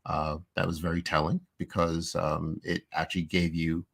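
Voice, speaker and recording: A man co-hosting a live-streamed podcast, recapping a show episode in a loose, animated way with lots of restarts and "you know.". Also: low voice, moderate pace, vocal fry. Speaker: podcast co-host.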